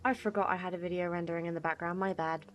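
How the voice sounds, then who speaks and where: A woman's voice: fairly low pitch, steady tone, rapid-fire. A woman doing casual let's play commentary, throwing in dry, amused asides. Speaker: gaming YouTuber. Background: faint game music.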